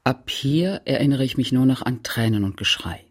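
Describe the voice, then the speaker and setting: An actor playing a grave narrator who echoes a memory in sparse, deliberate phrases for a literary radio play. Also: male voice, mid-range voice, lively intonation, natural voice. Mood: subdued. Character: grave male narrator echoing another's memory in fragments.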